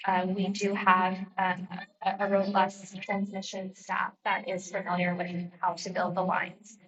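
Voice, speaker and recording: A soft-spoken woman, a utility representative, answering a landowner in a measured, hesitant way at a public meeting. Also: mid-range voice. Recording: distant mic with faint noise.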